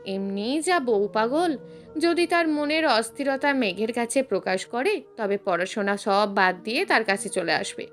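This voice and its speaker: Female narrator, high-pitched and very lively, reading romantic serial fiction over music. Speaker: story narrator.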